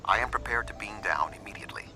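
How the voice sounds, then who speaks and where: A man playing an older, composed alien admiral in a video game, formal, measured and unemotional. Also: on the low side, background noise, natural voice. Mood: calm, grave.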